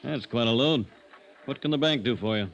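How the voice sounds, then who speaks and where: An actor playing a businesslike small-town bank official in a 1950s radio drama. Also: male voice, medium pitch, a little fast, natural voice. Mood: businesslike, curious. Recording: dull, noisy old radio recording.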